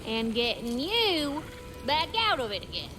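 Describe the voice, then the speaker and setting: A woman's voice: sits high, big pitch swings, a little slow, music in the background, rough recording. A woman voicing a breezy, frank female dwarf smith in a video game, informal and teasing. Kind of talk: video game character acting.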